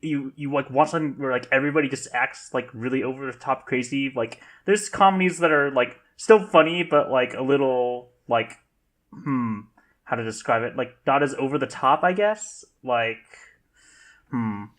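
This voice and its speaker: A man's voice: mid-range voice, normal speed, a bit bright. A man on a casual podcast, hesitant and searching for the right words.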